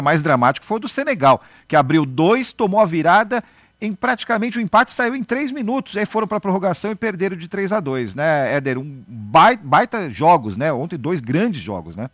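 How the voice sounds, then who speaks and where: A man's voice: a little high, a bit bright, no room sound. A man hosting a radio sports show from the studio, upbeat and chatty with his co-hosts.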